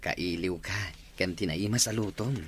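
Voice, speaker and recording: A man playing an easygoing, evasive husband and father with a husky voice in an audio drama. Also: sits low, normal speed, slight background noise, close to an everyday voice. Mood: evasive, placating.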